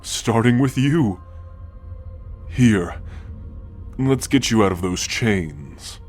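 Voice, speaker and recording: Man acting a slow, low-voiced, gentle ancient god. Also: lively intonation. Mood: gentle, fond. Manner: slow, deliberate, affectionate, with sighs and soft chuckles. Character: ancient godlike father and great-grandfather, gentle, wise, quietly commanding. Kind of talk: scripted ASMR audio roleplay. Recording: music under the voice. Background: background music.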